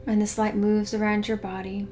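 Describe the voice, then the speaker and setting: A woman guiding a meditation in a soothing, unhurried way, hushed in places. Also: mid-range voice, flat delivery. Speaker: meditation guide. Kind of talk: guided meditation narration. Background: background music.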